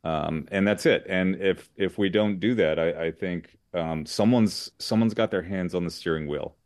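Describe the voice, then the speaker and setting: An American man from Southern California, a lawyer speaking as an interview guest, earnest and measured with many fillers. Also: deep voice, a little mellow, no room sound, studio quality. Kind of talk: interview conversation.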